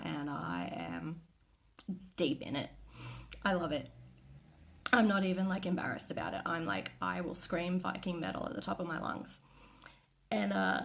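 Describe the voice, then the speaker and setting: A woman's voice: lowish voice, creaky. A woman chatting casually and gushing about her favourite bands, rambling with lots of fillers. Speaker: vlogger. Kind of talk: casual chat.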